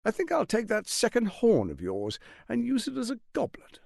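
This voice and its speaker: An actor playing a suave, scheming tyrant archduke in a video game, speaking in a pompous, silky, menacing and theatrical manner. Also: male voice, extremely high pitch, huge pitch swings, moderate pace, gravelly, darkish tone, no room sound, studio quality, full character voice. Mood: cold menace. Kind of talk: video game character acting.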